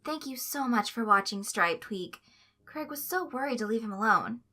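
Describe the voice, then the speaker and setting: Woman playing a kindly, high-voiced mom. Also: animated, moderate pace, sharp tone. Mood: grateful. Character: kindly suburban mother, sweetly instructing.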